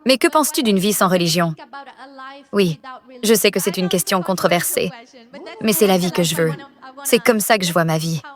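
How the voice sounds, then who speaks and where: A woman's voice: lowish voice, normal speed, pauses often. A young woman whose first language is Italian, an audience member earnestly and somewhat hesitantly asking a speaker questions about faith. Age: young adult.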